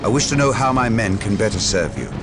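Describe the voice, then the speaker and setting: An actor playing an earnest army colonel in a video game cutscene, speaking formally and humbly as to a superior. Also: male voice, lowish voice, very animated, fairly quick. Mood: deferential. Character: deferential, earnest male army colonel, human officer. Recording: loud game music behind the voice.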